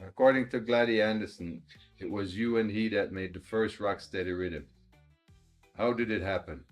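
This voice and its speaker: A man interviewing a musician, asking calm, direct questions. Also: on the low side, moderate pace, soft background music.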